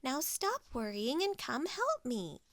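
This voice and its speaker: A woman voicing a cheerful, boastful, carefree pig who talks in excited exclamations. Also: sits high, slow, dry recording, heavily acted.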